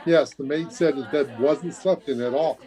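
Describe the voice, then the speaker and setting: A woman's voice: low voice, normal speed, dark tone, lightly acted. A woman playing a gossipy, nosy older hotel guest in an old-fashioned radio drama, chatty and fussy. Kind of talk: 1940s radio drama acting. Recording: faint chatter behind, video-call performance.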